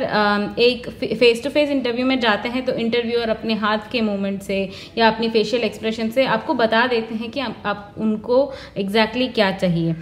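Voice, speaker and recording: A woman explaining interview advice in an earnest, instructive way, working through her points one by one. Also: a little high. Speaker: career advice vlogger.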